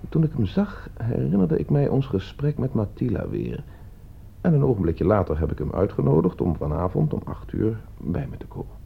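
A man's voice: on the low side, wildly swooping pitch, moderate pace, creaky, slightly rough, heavily acted. An actor playing a cool, calculating man in a radio play, speaking with composed, provocative deliberation. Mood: calm, narrating. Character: cool, calculating man staging a deadly experiment. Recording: dull, muffled old radio play recording.

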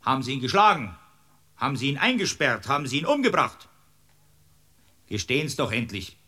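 An actor playing an irascible, impatient village-court judge with a Bavarian accent in a radio drama, blustering and sarcastic. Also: male voice, medium pitch, big pitch swings, moderate pace, sharp tone. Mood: stern. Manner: blustering, sarcastic, barking orders. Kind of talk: German radio drama acting.

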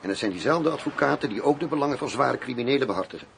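An actor in a radio drama playing a wry, world-weary undercover agent who poses as a gangster boss. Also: male voice, mid-range voice, lively intonation, very fast, creaky, slight background noise. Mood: measured, concerned.

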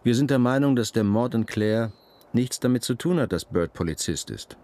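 An actor playing a calm, experienced police detective in a radio crime drama, speaking politely, deliberately and with reassurance. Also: male voice, medium pitch, natural voice. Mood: calm, assured.

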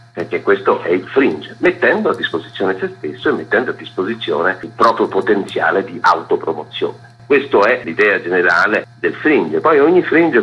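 A man with a husky, rough-edged voice, explaining things as a festival director interviewed on the radio. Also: sits low. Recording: dull lo-fi radio sound, music underneath. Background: music.

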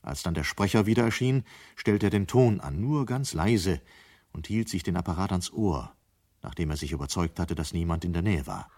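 A man's voice: low-pitched, animated, normal speed, a little mellow. A man narrating a scripted radio play in German as its storyteller.

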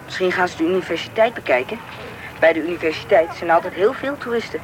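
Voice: medium pitch, fast, close to an everyday voice. A young male performer playing a cautious, obedient schoolboy in a radio drama. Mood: matter-of-fact. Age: young teen. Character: cautious schoolboy friend, obedient to his grandmother. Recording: radio play with noisy outdoor ambience.

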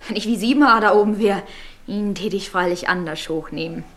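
An actress playing a brusque young rural maidservant in a German radio play, with a Silesian accent and an earthy, snappish way of talking. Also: female voice, medium pitch, fairly quick, a bit bright, slight background noise, lightly acted. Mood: mocking.

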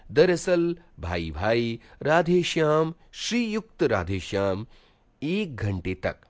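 A man reading a literary short story aloud as an expressive narrator. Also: medium pitch, dry recording. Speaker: narrator, audiobook reader.

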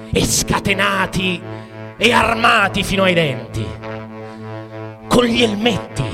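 Intense, wide-ranging male soldier narrator. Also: very high-pitched. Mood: frenzied, raging. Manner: halting, repeats words, breaks off mid-phrase. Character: frightened, cynical male soldier narrator at war. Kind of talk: dramatic literary monologue set to music. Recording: music under the voice. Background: music.

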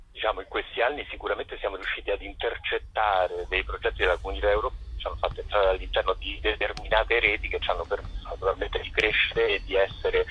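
A man's voice: low voice, husky. A man, a festival director, talking on a radio call-in and hesitating with many fillers. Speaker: festival director, phone guest. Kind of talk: radio phone interview. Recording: muffled phone line.

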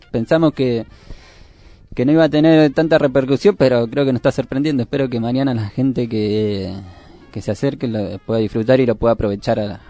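A man with a Buenos Aires area Argentine accent explaining things haltingly as a guest in a radio interview. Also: mid-range voice, dark tone. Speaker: studio guest, youth cultural organiser.

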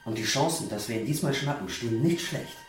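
An actor playing a chatty, confident police officer on a murder case in a radio drama. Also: male voice, medium pitch, animated, fairly quick, roomy sound, faint music behind, close to an everyday voice. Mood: matter-of-fact.

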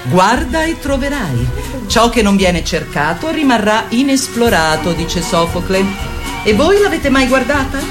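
A woman's voice: fairly high pitch, very animated, measured pace, over music. A woman performer delivering an emphatic, rallying theatrical monologue. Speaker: stage performer. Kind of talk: declamatory theatrical monologue addressed to women.

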